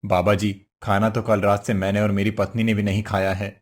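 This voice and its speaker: A man's voice: fairly low pitch, little pitch movement, brisk, close to an everyday voice. A man voicing a poor, simple woodcutter husband in a cartoon story, earnest, meek and fretful. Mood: kindly, earnest. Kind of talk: Hindi cartoon story voice acting.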